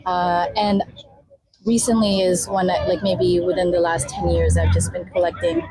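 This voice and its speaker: A woman of Filipino heritage, guest on a community radio interview, talking in a soft, hesitant way with many fillers. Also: on the low side, flat delivery, moderate pace, a crowd in the background, low-quality recording. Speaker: guest, mobile library founder. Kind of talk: casual interview talk.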